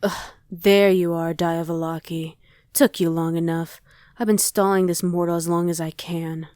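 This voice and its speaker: Woman acting as a smug, mellow-voiced she-demon. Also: on the low side, level tone, moderate pace, dry recording, close to an everyday voice. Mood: scornful, impatient. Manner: haughty, taunting. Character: smug female shapeshifting crossbreed demon, scornful of mortals. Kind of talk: ASMR roleplay acting.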